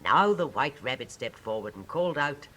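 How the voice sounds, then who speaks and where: Very low-voiced, expressive English woman narrator. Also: moderate pace. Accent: British Received Pronunciation. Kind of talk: scripted storybook narration, 1950s.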